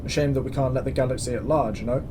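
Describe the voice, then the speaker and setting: A man acting as a stern commandant running a space station in a sci-fi audio drama. He speaks in a measured, formal way at a middle pitch, with dry authority. Clear background ambience sits behind him. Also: noisy background, lightly acted. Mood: measured, grave.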